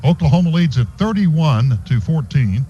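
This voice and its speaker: A man's voice: a little high, expressive, moderate pace, dark tone, highs cut off, a little hiss or hum. A man calling football play-by-play and reading sponsor spots on a radio broadcast from a stadium booth. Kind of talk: live sports commentary with sponsor reads.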